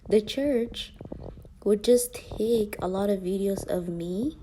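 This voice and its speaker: A woman's voice: mid-range voice, slow, noisy background. A young woman talking casually to her viewers in a rambling monologue, full of fillers and often dropping into a whisper. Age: young adult. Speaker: vlogger.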